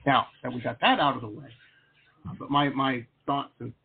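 A middle-aged man hosting a casual interview, rambling and animated, with lots of fillers. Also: medium pitch, normal speed, creaky, slightly rough. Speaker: host. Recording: phone-like lo-fi line.

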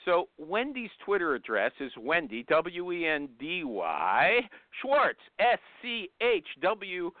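A man's voice: high voice, very animated, slow, hardly any pauses, dry recording. A man hosting a call-in show, blunt and teasing, who cuts in on his guests. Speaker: show host. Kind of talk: brash casual call-in show. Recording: tinny phone-line audio.

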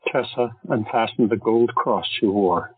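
A man reads the narration of an audio drama, measured and solemn. His voice is husky and slightly low. The sound is dry and thin like a phone line, with faint music underneath. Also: no room sound. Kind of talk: scripted narration.

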